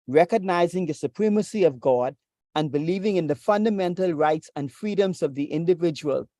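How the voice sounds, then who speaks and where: A preacher from the Bahamas, teaching in a measured, deliberate way over a video call. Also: medium pitch, dry recording. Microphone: video call with screen share.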